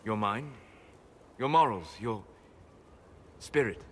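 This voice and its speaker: An actor playing a wise, melancholy elven mage in a video game, speaking in a measured, formal way. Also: male voice, lowish voice, animated, a bit bright, a little hiss or hum. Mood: thoughtful, searching. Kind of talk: dramatic video game acting.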